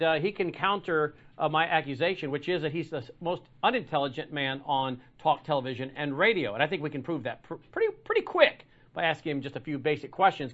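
A man's voice: on the high side, fairly bright tone. A man hosting a live talk broadcast, delivering a combative opinion monologue with frequent repeats and restarts. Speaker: talk show host. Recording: dull lo-fi broadcast audio.

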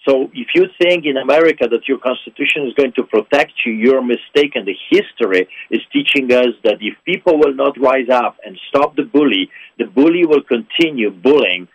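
A man's voice: medium pitch, no echo, rough recording. A man with a Polish accent, a pastor speaking as a guest in a radio interview, impassioned and emphatic. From Poland. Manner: impassioned, emphatic, repeats phrases.

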